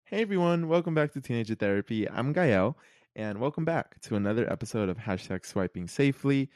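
A man's voice: medium pitch, lively intonation, a little fast, darkish tone. A young man hosting a podcast, chatting in a friendly, eager way with many fillers. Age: young adult. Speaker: podcast host. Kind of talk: casual podcast chat.

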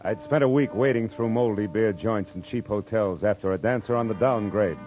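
Low male voice, acted, hard-boiled detective, wry, slangy, animated delivery, old radio drama with music. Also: brisk, close to an everyday voice. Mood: wry narration. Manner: terse, wisecracking, slangy. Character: hard-boiled male private eye, wry and world-weary. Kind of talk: 1940s radio acting, first-person narration.

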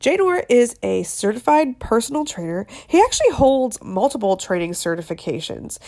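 A woman's voice: fairly high pitch, very animated, normal speed, dark tone. A woman hosting a nutrition podcast, talking casually with a nasal, congested voice from a head cold. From Florida. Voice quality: nasal, stuffed-up. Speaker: podcast host, dietitian. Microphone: video call.

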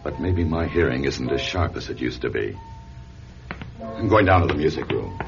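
An actor playing a protective middle-aged lawyer friend in a classic radio drama, urgent, authoritative and coaxing. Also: male voice, very deep voice, expressive, normal speed, creaky, heavily acted. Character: protective, insistent gentleman lawyer and loyal friend. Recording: muffled vintage radio, music behind.

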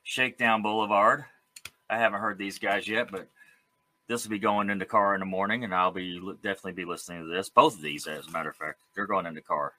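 A man hosting an interview show in a casual, enthusiastic, chatty way, quick to laugh. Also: low-pitched, fairly even intonation, bright, forceful tone. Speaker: host of a music interview show.

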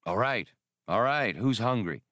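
A man's voice: on the low side, expressive, unhurried, fairly bright tone, no echo, studio quality. A man voicing a cocky, impatient starship smuggler captain in an audiobook. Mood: brash. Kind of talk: audiobook character voice.

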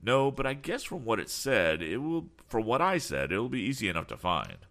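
A man's voice: medium pitch, wildly swooping pitch, moderate pace, vocal fry, a bit bright, voice pushed up, exaggerated voice. A man voicing a frank, determined young inventor in a storybook, explaining things earnestly. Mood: rueful, worried. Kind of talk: audiobook character voice.

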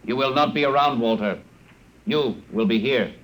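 A man's voice: medium pitch, normal speed, slight background noise, lightly acted, low-quality recording. Guy doing an alien overseer on old radio, stiff, formal, takes everything literally, no feeling at all. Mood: cold, matter-of-fact. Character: emotionless alien zookeeper, seven thousand years old yet young. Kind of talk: classic radio drama acting.

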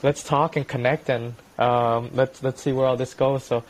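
A young man co-hosting a podcast, talking in a loose, halting way with frequent restarts and repeated words. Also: medium pitch, flat delivery, low-quality recording. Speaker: podcast co-host. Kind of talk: casual podcast chat.

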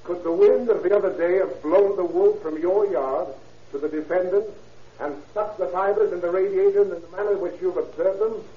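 A man's voice: sits high, a little fast, natural voice. A man playing an aggressive, sardonic prosecutor cross-examining pointedly in an old-time radio courtroom drama. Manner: pointed, sarcastic. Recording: distant, echoey, tinny radio recording with hiss.

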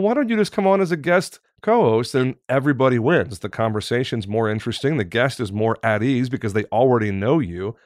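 A man's voice: medium pitch, no echo, studio quality. A man hosting a podcast, talking in an upbeat, enthusiastic way and joking with his guests. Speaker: podcast host.

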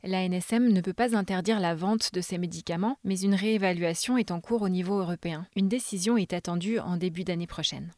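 A woman narrating a scripted health podcast, speaking clearly and informatively to the listener. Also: on the low side, brisk, hardly any pauses, a little mellow, dry recording, studio quality.

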